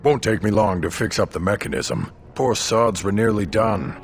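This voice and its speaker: Man playing a deep, gravelly gruff dwarf. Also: normal speed, soft background music, natural voice. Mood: proud, assured. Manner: blunt, gruff, earthy. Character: gruff, hearty male dwarf warrior, proud of his people. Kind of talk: fantasy video game acting.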